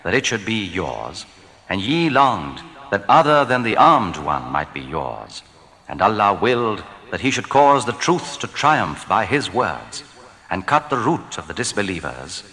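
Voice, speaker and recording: A man reads scripture in a fairly low but forceful, bright voice. His delivery is solemn, formal and deliberate, declaimed into a long reverb. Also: big pitch swings. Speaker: narrator of scripture translation. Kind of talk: solemn scripted reading of archaic scripture translation.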